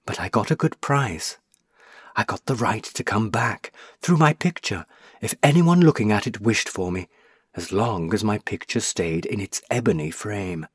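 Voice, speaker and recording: Give me a sorrowful young woman ghost, a burned witch, speaking softly and lovingly, her voice climbing high when grief takes hold, as a man reading a storybook puts her on, in a dry, close recording. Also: expressive, normal speed, breathy edge, no room sound. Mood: tender. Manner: pleading, tender, rising to anguish. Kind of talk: audiobook reading.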